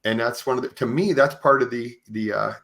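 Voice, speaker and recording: A man hosting a conversational podcast, sharing anecdotes in a halting way with frequent "you know". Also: mid-range voice, creaky, a bit bright. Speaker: podcast host. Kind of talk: conversational interview with personal anecdotes.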